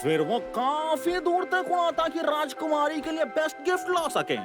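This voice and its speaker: A man voicing a sentimental, theatrical cartoon animal storyteller with a romantic heart and a drawn-out delivery. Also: very high voice, sing-song, music in the background. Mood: storytelling.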